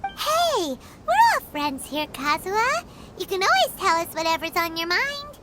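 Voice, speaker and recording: A woman voicing a tiny, excitable childlike companion sprite in a video game, squeaky and exclamatory. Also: measured pace, noisy background, full character voice. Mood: eager, concerned. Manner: exclamatory, speaks of self in third person. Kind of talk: English video game voice acting.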